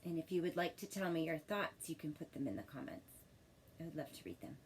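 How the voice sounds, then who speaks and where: A woman teacher reading a children's story expressively and pausing to ask questions in a deliberate, instructive manner. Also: deep voice, big pitch swings, a little hiss or hum.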